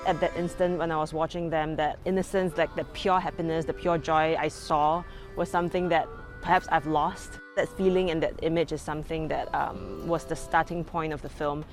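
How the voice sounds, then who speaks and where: Low-pitched casual woman, music behind. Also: steady tone, normal speed. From Singapore. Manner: informal, peppered with "like" and "you know". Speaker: filmmaker being interviewed. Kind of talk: casual interview talk.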